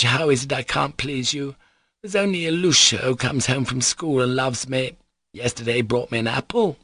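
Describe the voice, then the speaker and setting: A man's voice: medium pitch, fairly bright tone, no echo, pitched above the performer's own voice, lightly acted. A man voicing a querulous, rambling, half-mad wife and mother in a plaintive, self-pitying way, for an audiobook. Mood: pleading, tearful.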